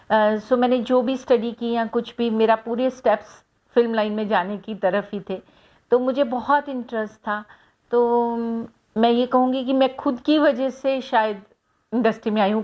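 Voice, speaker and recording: A middle-aged woman from Punjab, an actress answering fan questions in a casual, reflective way with many pauses and fillers. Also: medium pitch, a little mellow. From Hoshiarpur, Punjab, India. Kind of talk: casual personal talk to camera.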